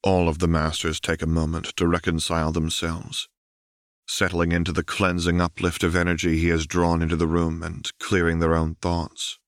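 A man narrating a fan fiction audiobook in a steady, even storytelling manner. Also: low-pitched, moderate pace, dry recording, clean studio sound.

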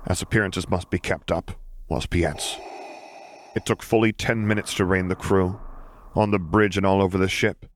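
Deep voiced guy reading a story out loud, dramatic audiobook narration, bit of background noise. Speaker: audiobook reader.

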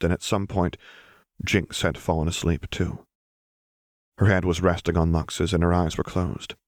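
A man's voice: sits low, vocal fry, no room sound, clean studio sound. A man reading a scripted story as an audiobook narrator.

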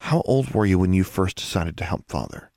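A man's voice: low voice, lively intonation, a little fast, gravelly, warm tone, dry recording, studio quality. A man voicing a bold, stubborn thirteen-year-old witch in an audiobook story, defiant and insistent. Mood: determined.

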